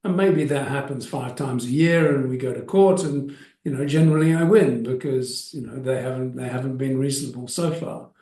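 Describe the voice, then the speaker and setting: A man's voice: mid-range voice, moderate pace, hardly any pauses, warm tone. A middle-aged man with an Australian accent, giving thoughtful, hesitant interview answers as an expert witness with frequent restarts. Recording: echoey room, mic at a distance.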